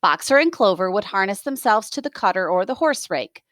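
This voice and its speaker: A woman reading a novel aloud as a teacher, expressive, with plain explanatory asides for students. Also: mid-range voice, a little fast, no room sound, studio quality.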